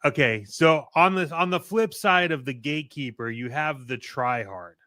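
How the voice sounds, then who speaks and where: A man's voice: on the high side, expressive, normal speed, pushed and bright. A man co-hosting a podcast, chatting casually in a blunt, slangy, conversational way. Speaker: podcast co-host.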